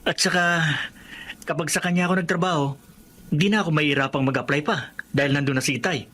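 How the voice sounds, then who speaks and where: Eager young son, higher-pitched. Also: male voice, steady tone, fast, sharp tone. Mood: hopeful. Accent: Filipino. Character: eager, hopeful college-age son and older brother. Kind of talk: Filipino radio drama acting.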